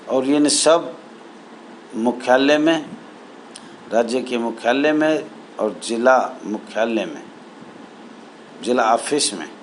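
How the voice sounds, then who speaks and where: A man speaking as an association spokesman, reading out a formal numbered appeal in a halting, deliberate, earnest way. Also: mid-range voice, poor audio. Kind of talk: formal appeal, reading numbered points aloud with added commentary. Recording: noticeable background noise.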